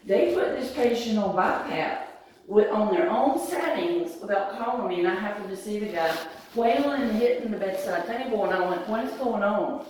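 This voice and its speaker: A hoarse woman, a respiratory therapist, insistently defending herself in a heated workplace argument. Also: medium pitch, expressive, moderate pace, a little mellow, heavy reverb, far from the mic, a little hiss or hum, poor audio. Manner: defensive, insistent, repeating denials.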